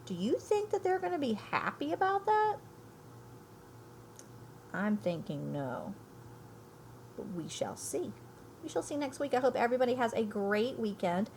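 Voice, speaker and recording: A woman's voice: on the high side, very animated, a little slow, long pauses, darkish tone, slight background noise. A woman teacher giving a read-aloud lesson, speaking emphatically and thinking aloud for her young listeners. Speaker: elementary school teacher. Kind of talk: read-aloud lesson, storybook reading and explaining.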